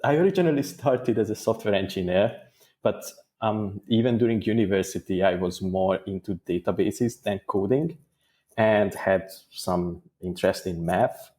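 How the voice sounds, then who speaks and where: A man answering interview questions as a guest, in a thoughtful, measured way with small restarts. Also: fairly low pitch, warm tone. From Hungary. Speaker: guest data engineer.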